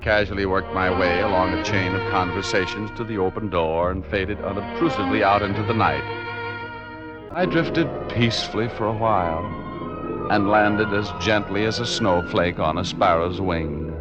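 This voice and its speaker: An actor playing a world-weary private detective, wry and deadpan, in 1940s radio style. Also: male voice, deep voice, moderate pace, dull audio, close to an everyday voice. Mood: wry, smooth. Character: world-weary hard-boiled male private detective narrating. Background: music.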